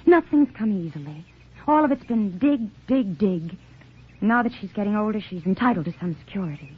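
An actress playing an earnest, guarded young engaged daughter in a 1940s radio drama, on a tinny old recording. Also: female voice, mid-range voice, expressive, brisk, breathy edge.

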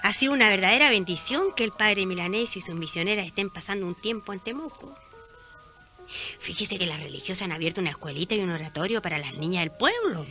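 A woman's voice: mid-range voice, animated, fast talker, sharp tone, soft background music. A woman playing a pious, friendly small-town neighbour in a radio drama, chatting and confiding news expressively. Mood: chatty.

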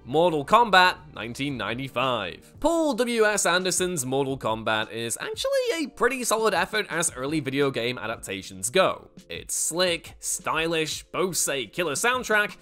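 A man's voice: on the high side, sharp tone. A man presenting a scripted list video as jokey, opinionated commentary, with emphatic, sardonic delivery and exaggerated stress. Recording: music behind the voice. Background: background music.

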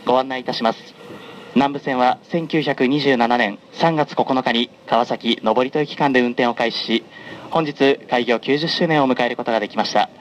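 A man making formal, scripted onboard train announcements in a measured, polite manner, as a conductor does. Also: medium pitch, low-quality recording. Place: onboard a running train, over the announcement system. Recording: clear noise of a moving train. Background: train running noise.

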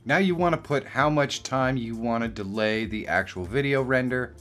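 A middle-aged man hosting a casual software tutorial. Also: mid-range voice, normal speed. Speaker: tutorial host. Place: small indoor home studio.

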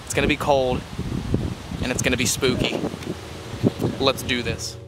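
A man's voice: mid-range voice, flat delivery, normal speed, very noisy. A young man, hosting a casual outdoor vlog, talking upbeat to a handheld camera while walking. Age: young adult. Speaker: vlog host. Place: outdoors by a creek and cemetery. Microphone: handheld camera at arm's length.

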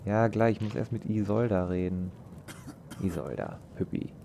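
A man's voice: fairly low pitch, moderate pace, gravelly, warm tone, a little hiss or hum. A man giving casual let's play commentary, rambling and joking irreverently.

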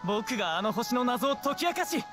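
A brave young fantasy-game prince declaims in a very high voice. He sounds eager and confident, with music clearly playing behind him. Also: big pitch swings, normal speed. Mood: confident, reassuring. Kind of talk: Japanese fantasy game voice acting.

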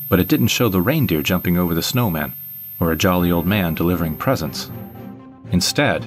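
Deep-voiced man narrating a story. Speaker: narrator of an audio drama. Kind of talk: first-person scripted narration.

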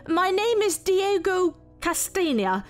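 A woman's voice: very high voice, big pitch swings, takes it slowly, soft background music, pitched above the performer's own voice, exaggerated voice. A woman voicing a terrified male envoy with a foreign accent, pleading and grandiose, in an audio story.

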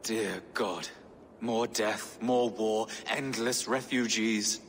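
An actor playing a pious, worried medieval bishop in a video game. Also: male voice, fairly low pitch, steady tone, slow, a bit bright, big-room sound, a little hiss or hum. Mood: weary. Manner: weary, pious, informative. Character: worried portly medieval bishop, kindly ally. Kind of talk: video game cutscene acting.

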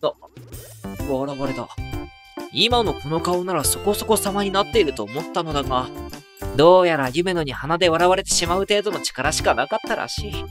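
A man playing a wry, self-mocking high school boy who narrates an anime audio drama with dry asides. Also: animated, moderate pace, music in the background, close to an everyday voice. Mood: musing.

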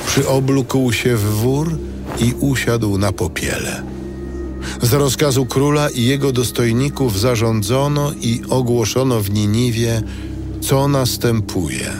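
A man playing a solemn narrator, reading a scripted audio Bible in a measured way. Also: mid-range voice, music in the background, lightly acted. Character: solemn male biblical narrator.

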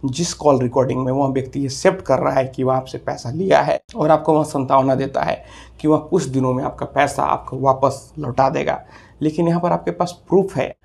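Man, thirties, gravelly voice, flat intonation, instructive explainer delivery. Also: mid-range voice. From India. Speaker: legal advice video host. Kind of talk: explanatory legal advice to camera. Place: small office room, indoors.

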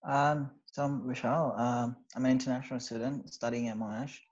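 A man's voice: mid-range voice, moderate pace. A young man from Sri Lanka, an undergraduate student, answering interview questions casually with many fillers over a video call from a small bedroom. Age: young adult. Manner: relaxed, hesitant, many fillers. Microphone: video call with wired earphones. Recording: slightly dull video-call audio.

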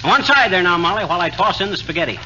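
Lively, boastful, bumbling husband. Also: male voice, high-pitched, rapid-fire. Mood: blustering. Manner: folksy, blustering. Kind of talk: 1940s radio comedy acting. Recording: noisy, muffled 1940s radio recording.

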